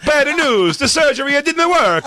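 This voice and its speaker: A man's voice: high-pitched, voice pushed up. A man putting on an exaggerated Italian-accented voice, playing an ailing man who speaks in broken English.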